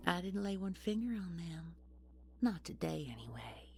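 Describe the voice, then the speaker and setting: A woman's voice: fairly low pitch, very animated, normal speed, a little hiss or hum. A woman playing an ancient nightmare posing as a business executive in an audio horror drama, mock-sweet and menacing, with folksy, condescending pet names. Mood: taunting. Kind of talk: audio horror roleplay acting.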